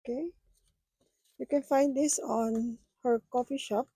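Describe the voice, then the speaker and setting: Soft-spoken Filipina budgeting vlogger. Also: female voice, a little high, fairly even intonation, husky, dry recording. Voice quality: halting, hesitant phrasing. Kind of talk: casual chat with viewers.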